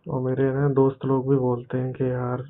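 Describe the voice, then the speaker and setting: Male actor for bashful flirting younger brother, audio roleplay; halting, mid-pitched, flat delivery over a dull phone line. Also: lightly acted. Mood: hesitant, recounting. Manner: hesitant, halting, padded with verbal tics. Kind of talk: improvised audio roleplay of a private phone call. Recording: muffled, narrow-band phone call audio.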